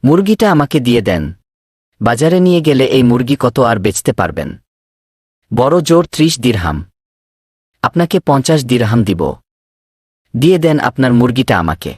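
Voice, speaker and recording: A man voicing a pushy swindler in a cartoon, husky, insistent and wheedling. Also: mid-range voice, sing-song, moderate pace, pauses often, a little mellow, no echo, clean studio sound. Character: pushy male swindler posing as eager chicken buyer. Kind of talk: cartoon dubbing.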